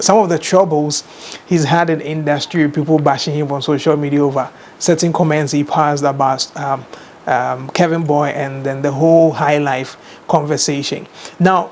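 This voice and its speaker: A man's voice: on the high side, a little hiss or hum. A man with a Ghanaian accent hosting a conversational interview. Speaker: interviewer, show host.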